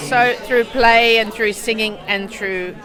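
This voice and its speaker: A woman's voice: a little high, fairly even intonation, unhurried, over music, crowd noise. A woman with an Australian accent, a university language teacher, explaining at length in a casual interview.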